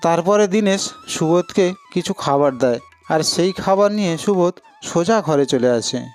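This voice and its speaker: A man's voice: high voice, animated, normal speed, faint music behind. A man narrating a scripted cartoon story as a storyteller.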